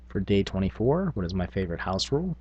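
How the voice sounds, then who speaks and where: Deep, husky-voiced man chatting casually. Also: big pitch swings, fast talker, warm tone, highs cut off. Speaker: tabletop gaming video host. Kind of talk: casual unscripted vlog talk.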